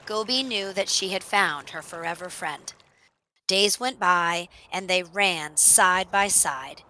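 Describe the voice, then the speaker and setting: Bright, unhurried woman reading a storybook. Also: fairly low pitch, poor audio. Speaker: storybook narrator. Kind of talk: expressive children's read-aloud narration.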